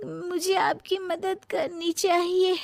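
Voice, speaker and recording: A woman's voice: very high-pitched, little pitch movement, takes it slowly, pitched above the performer's own voice, exaggerated voice. A woman voicing a lazy, scheming young sister-in-law in a cartoon story, whiny and put-upon. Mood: feigned weakness.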